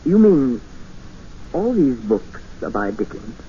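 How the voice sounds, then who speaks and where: A muffled, faintly hissy mid-century radio recording of a courteous stranded traveller. His medium-pitched voice moves expressively, tentative and questioning, with unease under the good manners of old radio acting. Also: sing-song, slow, mellow. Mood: polite, puzzled. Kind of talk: 1950s radio drama acting.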